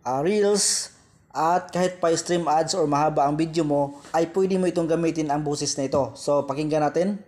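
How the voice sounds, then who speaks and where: High-voiced Filipino man giving app tutorial. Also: normal speed, darkish tone. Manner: repetitive, addressing viewers directly as friends. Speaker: tutorial host. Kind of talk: step-by-step app tutorial voice-over.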